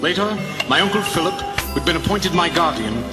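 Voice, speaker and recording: Man acting brooding cartoon hero, solemn narration, fairly high male voice, echoing room, earnest. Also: expressive, fairly quick, close to an everyday voice. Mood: sorrowful. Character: grown man, brooding hero recalling his childhood grief. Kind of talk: dramatic first-person scripted narration. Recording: roomy voice under loud music.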